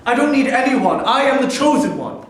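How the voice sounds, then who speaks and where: A man's voice: very high voice, big pitch swings, moderate pace. A man playing a proud, domineering cave king in a staged reading, speaking in a commanding, grandiose way. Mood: paranoid anger. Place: theater in New York. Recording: echoey hall from far off.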